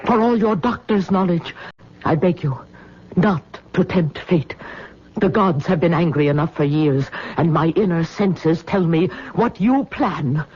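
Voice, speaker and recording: Imperious, husky-voiced island queen. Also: lowish voice, unhurried, darkish tone. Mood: commanding, foreboding. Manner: grand, declamatory, vehement. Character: imposing middle-aged Polynesian queen, enormous, imperious, angry mother. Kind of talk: old-time radio drama acting. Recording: dull lo-fi vintage radio recording.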